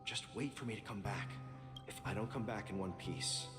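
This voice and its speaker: Guy doing a weary old watchmaker game character, lowish measured voice, kind and wistful, big music behind him. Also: close to an everyday voice. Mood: reassuring. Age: older. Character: weary, kindly old watchmaker and wandering trailblazer. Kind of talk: video game voice acting.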